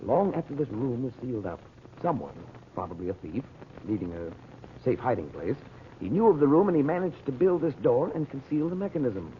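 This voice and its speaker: Actor as a confident radio-serial adventurer, tinny recording. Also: male voice, mid-range voice, very animated, fairly quick, slight background noise, close to an everyday voice. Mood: excited deduction. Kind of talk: old-time radio serial acting.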